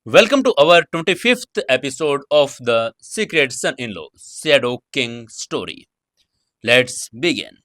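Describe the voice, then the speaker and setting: A man's voice: mid-range voice, big pitch swings, measured pace, a bit bright, dry recording, studio quality. A man narrating a dramatic serial novel as its host, in non-native English with occasional slips and hesitations. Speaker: audio story narrator and channel host.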